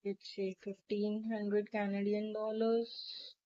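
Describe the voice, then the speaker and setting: A woman narrating a step-by-step software tutorial in an instructional, explanatory way. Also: medium pitch, level tone, takes it slowly. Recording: dull, lo-fi microphone sound.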